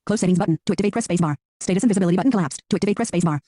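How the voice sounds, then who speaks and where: A synthetic screen reader voice announcing interface labels in a flat, robotic manner. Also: mid-range voice, very fast, no room sound.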